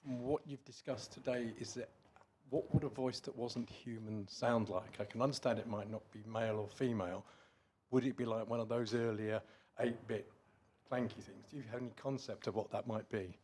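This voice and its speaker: A man's voice: mid-range voice, fairly even intonation, moderate pace, darkish tone, breathy edge. A man in an audience casually putting a question to a speaker. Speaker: audience member asking a question. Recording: background room noise.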